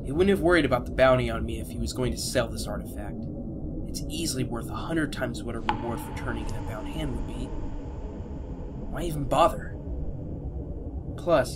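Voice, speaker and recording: A man playing a sharp, decisive fugitive ex-officer in a sci-fi audio drama, speaking in a clipped, matter-of-fact way. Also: mid-range voice, heavy background noise, lightly acted.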